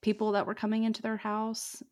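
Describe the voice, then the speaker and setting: A woman hosting a podcast, telling a true ghost story in a chatty way, with many "like"s and "um"s. She has a fairly deep voice. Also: completely flat delivery, brisk, a little mellow. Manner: chatty, lots of "like" and "um". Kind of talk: casual storytelling chat.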